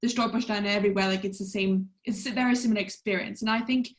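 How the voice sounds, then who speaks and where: A woman's voice: medium pitch, steady tone, fast talker, background noise. Hedging woman from Austria. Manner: thoughtful, hedging with "I think". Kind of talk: online panel discussion.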